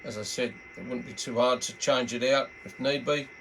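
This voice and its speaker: A middle-aged or older Australian man giving a casual, unscripted product walkthrough in a laid-back, halting, rambling way. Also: mid-range voice, fairly bright tone, slight background noise, poor audio. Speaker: hobbyist radio reviewer.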